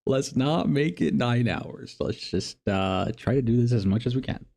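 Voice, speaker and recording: A man's voice: medium pitch, lively intonation, normal speed, gravelly, a little mellow. A man live-streaming a game, commenting casually and reading game text aloud.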